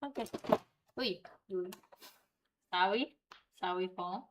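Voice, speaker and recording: A young woman from Vietnam with a Vietnamese accent, chatting to viewers in a relaxed, giggly way while she draws on stream. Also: medium pitch, slow, slight background noise. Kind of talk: casual stream chat while drawing.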